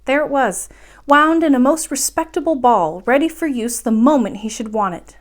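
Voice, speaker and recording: A woman reading a children's story aloud as a storyteller, with expressive, measured phrasing and dramatic emphasis. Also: sits high.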